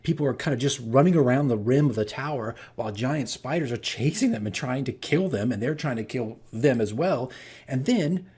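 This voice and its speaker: A man's voice: mid-range voice, lively intonation, moderate pace, a little mellow. A man hosting a casual vlog as a game master, telling stories in a rambling, self-deprecating way and repeating phrases for emphasis. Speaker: host, dungeon master. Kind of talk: casual campaign diary vlog, storytelling.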